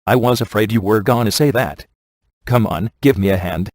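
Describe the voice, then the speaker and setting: An actor playing a sardonic, laid-back male ghost hunter in a video game, delivering wry scripted quips in a casual deadpan manner. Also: on the low side, moderate pace, no echo, clean studio sound, lightly acted. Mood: deadpan, teasing. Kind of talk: scripted game dialogue, dry wisecracks.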